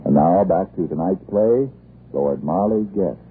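Man, vintage radio announcer, low husky pitch, scripted pitchman style, narrow tinny broadcast. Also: animated, unhurried, slight background noise. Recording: tinny old radio recording.

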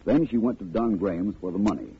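A man's voice: fairly low pitch, fast, lightly acted. An American man playing a confident, wry private detective in urbane, precise 1940s radio style. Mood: assured. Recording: tinny, faintly noisy old radio recording.

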